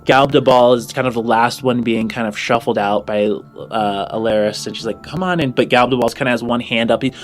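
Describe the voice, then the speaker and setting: A man's voice: medium pitch, steady tone, a little fast, hardly any pauses. Man narrating a tabletop game over music. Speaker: game master.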